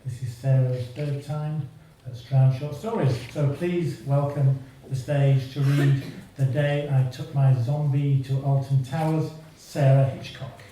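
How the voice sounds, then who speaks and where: Man, dark mellow voice, stage introduction, distant mic in a very echoey theatre. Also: mid-range voice, steady tone, a little slow. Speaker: event organiser introducing an author. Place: small theatre stage before an audience. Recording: very echoey hall, distant mic, faint noise.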